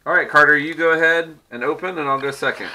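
A man's voice: on the high side, fast talker, bright, forceful tone, poor audio. A middle-aged man chatting casually and teasing while opening trading card packs at his desk at home. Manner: chatty, teasing, laughs often. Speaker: host of a card-opening channel. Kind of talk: casual card-breaking commentary.

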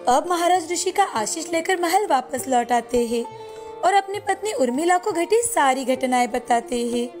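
A woman's voice: high voice, animated, normal speed, darkish tone. A woman narrator reading a scripted folktale. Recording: music bed behind the voice.

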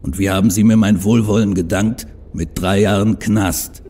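A man's voice: lowish voice, steady tone, normal speed, mellow, natural voice. A man playing a proud, embittered and stubborn Egyptian troll in a fan audio drama, speaking in earnest, emphatic speeches. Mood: bitter.

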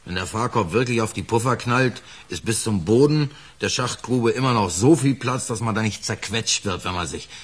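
An actor playing a defensive working-class lift fitter in a radio crime play, talking colloquially and haltingly. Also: male voice, lowish voice, breathy edge. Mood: agitated, defensive. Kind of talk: naturalistic German radio drama acting.

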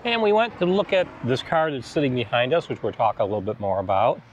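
A man's voice: medium pitch, animated, fast talker, dull audio, a little hiss or hum. American man chatting casually outdoors. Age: middle-aged. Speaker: travel vlog host. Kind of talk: casual chat recounting a trip. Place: outdoors in open air beside a car.

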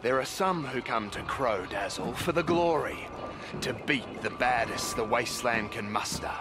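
An actor playing a flamboyant, sly race boss in a video game, speaking in grandiose, preacher-like theatrical patter. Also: male voice, medium pitch, background noise, lightly acted. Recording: music under the voice.

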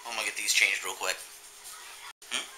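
A man's voice: on the low side, normal speed, a little hiss or hum. A man in his thirties hosting a home cooking video, talking casually and rambling through the steps at his kitchen table. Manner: relaxed, rambling, with fillers. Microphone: camera mic. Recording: echoey kitchen on a camera mic.

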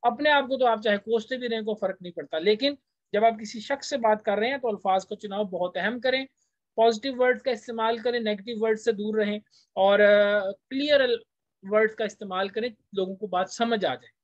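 A man giving an informal lecture as a teacher, explaining point by point and slowing down for English phrases. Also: high-pitched, no room sound. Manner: explanatory, slows down when reading English phrases. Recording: dull, lo-fi close recording.

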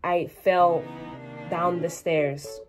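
A woman's voice: lowish voice, fairly even intonation, extremely slow, over music. A teenage girl born in Spain, talking casually to camera from her small bedroom, with joking asides to herself. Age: teens. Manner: chatty, self-mocking asides. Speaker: vlogger. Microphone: phone or webcam at arm's length.

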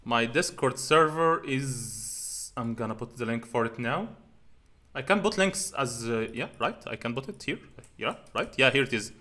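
A man's voice: mid-range voice, lively intonation, normal speed, bright, forceful tone. A man in his thirties, a native Arabic speaker, chatting casually while answering viewer comments, rambling with many fillers and restarts, on a headset mic in a small room at home. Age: 30s. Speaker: channel host answering comments.

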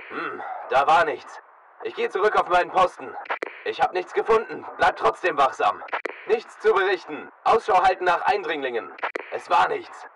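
An actor playing a tense, hard-edged soldier in a video game, giving clipped military combat calls. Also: male voice, high-pitched, far from the mic, noisy background. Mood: wary, subdued.

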